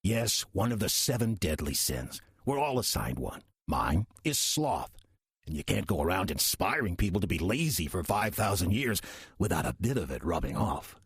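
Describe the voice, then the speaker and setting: Slothful demon in human guise, played by a man: heavily husky, animated delivery, sardonic and coaxing, for radio drama. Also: low-pitched, moderate pace, no echo, clean studio sound, exaggerated voice. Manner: sardonic, casual, persuasive. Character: wry, slothful male demon posing as a friendly churchgoer. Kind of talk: audio drama dialogue.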